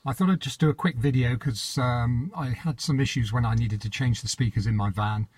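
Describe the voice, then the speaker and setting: A man's voice: mid-range voice, brisk, a little mellow, slightly rough, dry recording. A British man presenting an informal hands-on how-to in an unscripted, matter-of-fact, slightly hesitant manner.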